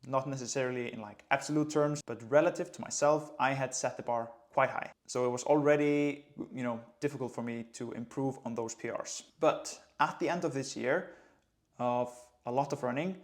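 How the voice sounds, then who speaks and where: A man in his late twenties from Iceland, a running coach speaking English with an Icelandic accent in a relaxed, conversational vlog. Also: medium pitch, moderate pace. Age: late 20s. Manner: relaxed, conversational, light laughs. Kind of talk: casual vlog talk to camera.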